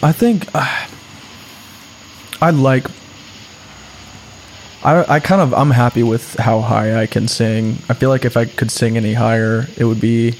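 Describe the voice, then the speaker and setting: A man's voice: medium pitch, measured pace, long pauses, a little mellow, slight background noise, rough recording. A young man chatting casually in a laid-back, easygoing way. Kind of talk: casual chat.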